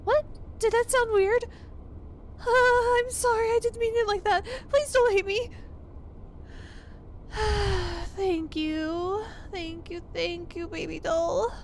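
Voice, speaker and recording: A woman's voice: very high voice, fairly even intonation, takes it slowly, pauses often, a little hiss or hum, exaggerated voice. A woman playing a clingy, obsessive young girlfriend in an ASMR roleplay, sweet, gushing and emotional, with an apologetic, hesitant way of talking. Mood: anxious, apologetic.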